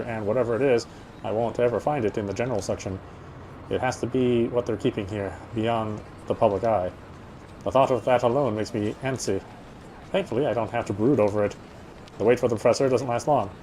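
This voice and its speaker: A man's voice: mid-range voice, warm tone, slight background noise, low-quality recording. A man reading a visual novel's narration aloud as he plays, now and then stumbling and rereading phrases.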